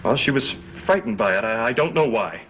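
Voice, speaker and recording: Proud, defiant young manor heir. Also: male voice, medium pitch, big pitch swings, normal speed, a bit bright, slight background noise, rough recording. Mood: guarded. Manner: imperious, questioning, indignant. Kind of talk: 1970s television soap opera acting. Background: background music.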